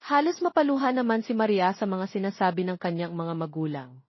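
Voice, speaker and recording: A woman's voice: medium pitch, animated, fairly quick, no room sound, dull audio. A woman narrating a scripted love story as an audiobook reader.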